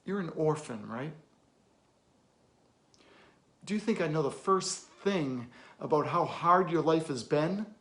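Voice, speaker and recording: A man performing a dramatic film monologue as a weary, blunt middle-aged therapist, deliberate, with weighty pauses and emphatic bursts. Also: medium pitch, vocal fry. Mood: blunt, stern. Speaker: actor.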